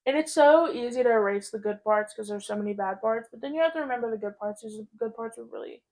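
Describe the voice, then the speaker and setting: Podcast co-host, female, mid-teens, highish voice, candid and informal chat. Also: fast talker, darkish tone, poor audio. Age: 16, teenager. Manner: candid, informal, heavy use of "like".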